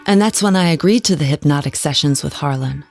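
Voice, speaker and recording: An actress playing a haunted, genteel wife who tells her story in a measured, dramatic way in an audio drama. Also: low-pitched, creaky, no echo, soft background music. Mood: confessional. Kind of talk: scripted audio drama narration.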